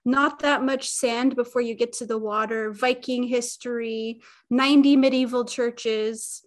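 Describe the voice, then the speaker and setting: Guest voice: middle-aged woman, higher pitch, lively and chatty, on a video call. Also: measured pace. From Utah, USA. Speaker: podcast guest, YouTuber. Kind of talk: casual interview chat.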